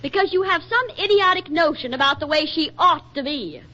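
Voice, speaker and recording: A woman acting in a 1940s radio drama as a brisk, bossy, jealous young wife with an emotional, scolding delivery. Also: high-pitched, lively intonation, sharp tone. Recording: muffled vintage 1940s radio recording.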